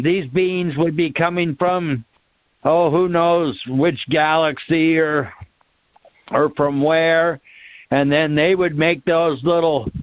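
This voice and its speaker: A man with a slightly high, clean voice muses casually as a call-in participant. He speaks extremely slowly, in hesitant, drawn-out phrases, over a thin phone line. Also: no echo. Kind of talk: musing, casual discussion. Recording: dry, tinny phone line.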